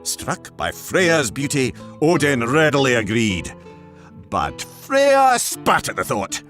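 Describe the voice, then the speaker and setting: Animated male storyteller over music. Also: mid-range voice, slow. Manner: theatrical, emphatic. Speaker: narrator. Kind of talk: dramatic scripted mythology storytelling. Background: background music.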